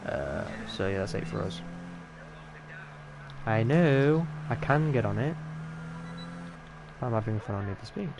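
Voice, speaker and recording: A man's voice: on the low side, sing-song, measured pace, pauses often. A man with a Yorkshire accent giving rambling, casual commentary while streaming a game, swearing freely. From Yorkshire, England. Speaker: gaming streamer. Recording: clear game noise behind voice.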